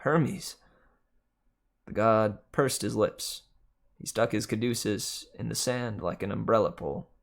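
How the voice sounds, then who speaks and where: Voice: mid-range voice, moderate pace, creaky. A reader narrating a children's novel aloud in a storybook style. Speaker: audiobook reader.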